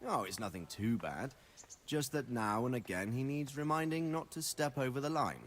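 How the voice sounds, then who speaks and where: Wry medieval lord with lively intonation, man acting. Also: medium pitch, moderate pace, lightly acted. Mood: wry, explaining. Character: wry, pragmatic middle-aged medieval nobleman commanding a garrison. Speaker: lord giving orders to a subordinate. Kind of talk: scripted video game dialogue.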